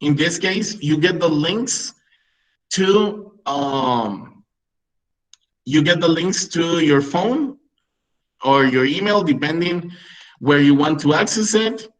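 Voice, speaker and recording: Slow, deliberate man in his thirties. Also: on the high side, animated, rough recording. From Peru. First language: Spanish. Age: 30s. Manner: explanatory, frequent "right?" checks. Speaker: webinar presenter, English teacher. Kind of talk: online teaching webinar.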